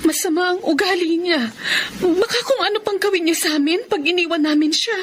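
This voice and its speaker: A woman's voice: extremely high pitch, brisk, slight background noise. A middle-aged woman playing a battered, long-suffering mother in a radio drama, resigned, fearful and pleading. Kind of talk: Tagalog radio drama acting.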